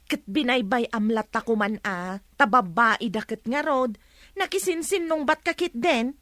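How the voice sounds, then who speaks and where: A woman's voice: fairly high pitch, a little slow, a bit bright, dry recording, clean studio sound. A woman playing a patient, apologetic wife who pleads with and chides her husband in a radio drama. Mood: reproving. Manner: pleading, reproving.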